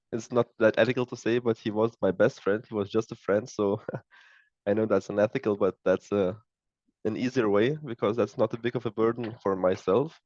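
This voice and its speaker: A man's voice: on the low side, a little mellow, no room sound, muffled recording. A man in his early twenties from Lithuania, speaking English with a Lithuanian accent, answering interview questions hesitantly as he searches for words. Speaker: startup co-founder, podcast guest.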